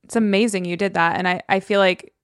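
A woman's voice: mid-range voice, steady tone, fast talker, clean tone, dry recording, clean studio sound. A woman co-hosting a podcast, chatting in a casual, opinionated way. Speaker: podcast co-host.

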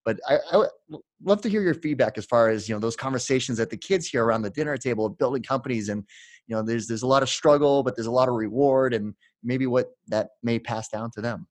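A man in his forties hosting a podcast, reading the intro and then asking long, halting questions full of fillers. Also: mid-range voice, dry recording. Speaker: podcast host.

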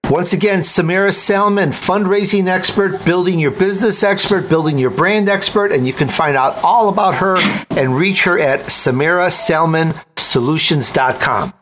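A male interview host with a slightly high voice who talks in an effusive, upbeat way. He heaps praise on his guests and sounds glad to have them on the show. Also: normal speed, a bit bright, a little hiss or hum. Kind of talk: upbeat podcast interview hosting.

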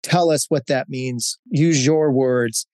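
Man, fifties, from Hawaii, interview guest, candid storytelling, lots of fillers, medium pitch. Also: takes it slowly, darkish tone, no room sound, studio quality. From Hawaii, United States. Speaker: guest, corporate executive. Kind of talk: reflective interview answers.

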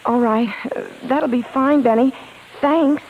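An actress playing a proud, lovestruck, bossy singing star in 1950s radio drama, imperious yet easily flustered. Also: female voice, sits high. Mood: anxious, hesitant. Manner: imperious yet flustered, halting when nervous. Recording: hissy, muffled 1950s radio broadcast.

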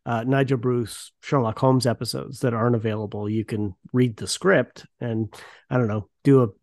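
A man hosting a podcast in casual, informal conversation, expressive and loose in his phrasing. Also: mid-range voice, moderate pace, no room sound, clean studio sound. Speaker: podcast host.

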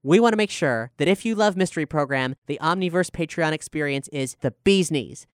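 A man's voice: fairly high pitch, lively intonation, a little fast, clean tone, dry recording, studio quality. A man hosting a podcast, reading out announcements and promotional news to listeners. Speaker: podcast host and showrunner. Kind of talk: scripted announcement, promotional talk.

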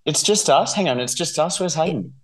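A man who is a musician guesting on a podcast, talking casually and haltingly, often repeating words as he restarts. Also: fairly high pitch, lively intonation, a bit bright.

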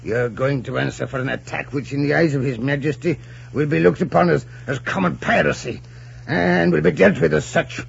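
An actor playing an imperious, scheming colonial governor, pompous and stammering, in 1940s radio drama style. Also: male voice, fairly high pitch, normal speed, creaky, husky, full character voice. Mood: vindictive. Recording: muffled 1940s radio recording.

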